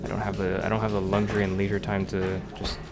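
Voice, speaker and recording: A man's voice: on the low side, completely flat delivery, quick pace. A man livestreaming a video game, muttering casual commentary to himself with exasperated, profane grumbling. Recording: loud music behind the voice. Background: loud music.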